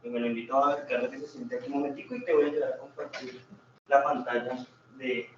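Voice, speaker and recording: Halting male moderator in heavy echo. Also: medium pitch, flat delivery. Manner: halting, repeats and rephrases himself. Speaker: seminar moderator. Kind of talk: informal moderating. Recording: very echoey, distant muffled mic, faint noise.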